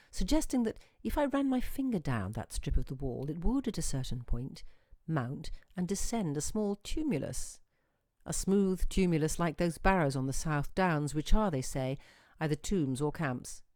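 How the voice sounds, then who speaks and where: A woman reading a literary short story aloud in a reflective, deliberate way, with expressive pauses and emphasis. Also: deep voice, no echo, clean studio sound. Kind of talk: expressive literary audiobook narration.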